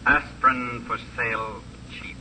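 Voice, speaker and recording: An actor playing a polite, businesslike drug company dealer in a 1950 radio crime drama, formal and courteous. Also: male voice, mid-range voice, little pitch movement, measured pace, pushed and bright. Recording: hissy old radio transcription, distant.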